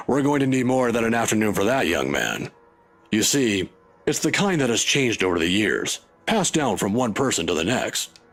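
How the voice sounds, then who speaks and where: A man voicing an older male professor who speaks in a measured, lecturing, wry way, with a husky voice. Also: lowish voice, very animated, a bit bright, faint music behind, exaggerated voice. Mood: musing.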